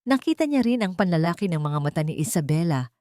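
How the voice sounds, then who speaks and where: A woman with a fairly low voice narrates a dramatic story. She phrases each line deliberately and pauses briefly for effect. The recording is dry and close, with very little room sound. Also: big pitch swings, mellow, dry recording.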